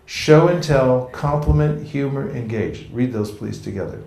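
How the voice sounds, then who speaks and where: A man's voice: medium pitch, a little slow, vocal fry, darkish tone. Playful man teaching a seminar, echoey room. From California. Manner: playful, jokey, acts out street encounters. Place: seminar room with a live audience.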